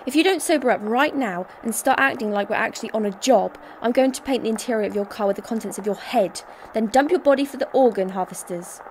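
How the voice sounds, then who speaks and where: A woman's voice: fairly high pitch, quick pace, hardly any pauses, natural voice. A woman playing a tough, tense heist operative who narrates her own story in a radio drama. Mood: threatening. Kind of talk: radio drama first-person narration with acted dialogue. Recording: noisy recording with vehicle ambience.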